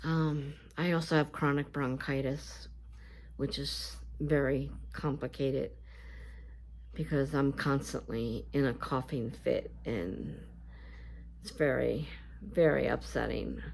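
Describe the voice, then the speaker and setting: A woman with a scratchy voice talking casually to her viewers in a vlog, halting and full of fillers. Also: extremely low pitch, fairly even intonation, vocal fry, darkish tone. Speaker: YouTuber. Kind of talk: casual talk to camera.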